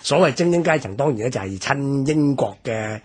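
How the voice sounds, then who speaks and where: A man's voice: mid-range voice, animated, normal speed, darkish tone, breathy edge. A man in his sixties from Hong Kong, with a Hong Kong Cantonese accent, explaining history on a radio talk show and padding his sentences with fillers. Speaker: guest commentator.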